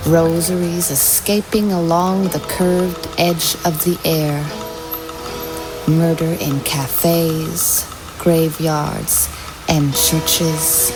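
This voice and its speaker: A woman reading poetry in a slow, measured, deliberate way. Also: deep voice, steady tone, poor audio. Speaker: poetry reader. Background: music soundscape.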